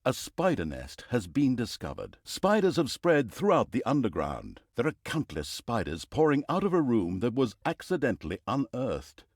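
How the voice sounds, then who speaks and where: Wry, highly animated fantasy game narrator. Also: male voice, moderate pace, few pauses, breathy edge, no room sound. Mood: dry, mocking. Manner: tongue-in-cheek, dramatic, swinging between grand storytelling and dry asides. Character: wry, mocking male storyteller narrator of a comedic fantasy dungeon game. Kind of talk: theatrical scripted game narration.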